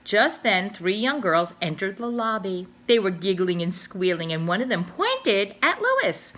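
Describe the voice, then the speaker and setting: A teacher reading a children's novel aloud, telling the story with animated expression. Her voice is bright and crisp, swinging widely in pitch as she narrates. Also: a little slow.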